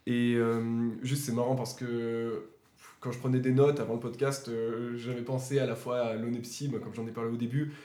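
A man's voice: mid-range voice, little pitch movement, moderate pace, dark tone, reverberant. A man hosting a podcast, asking questions in an informal, slangy way with many fillers. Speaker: podcast host. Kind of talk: casual podcast interview.

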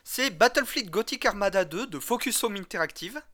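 A man's voice: high voice, expressive, moderate pace, bright, forceful tone. A man chatting casually and enthusiastically about games as a podcast co-host, geeky and slangy. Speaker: co-host of a video game podcast. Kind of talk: casual gaming chat.

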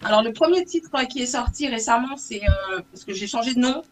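A woman's voice: on the high side, lively intonation, fairly quick. A woman from Saint-Martin in the Caribbean, a native English speaker, talking casually as a singer being interviewed and feeling her way through broken phrases. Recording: noisy recording with clicks.

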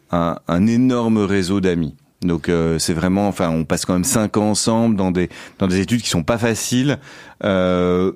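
A man in his forties who grew up in Belgium, a finance entrepreneur guesting on a radio interview. He talks in a measured way and pauses often to hunt for words. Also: sits low, darkish tone, clear, resonant tone, no room sound, studio quality. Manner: measured, hesitant, frequent filler pauses.

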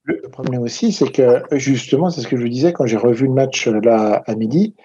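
A man's voice: mid-range voice, a little fast, warm tone. A man on a rugby talk show, chatting casually and hesitantly with many fillers and restarts. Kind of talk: casual sports chat. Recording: dull lo-fi sound.

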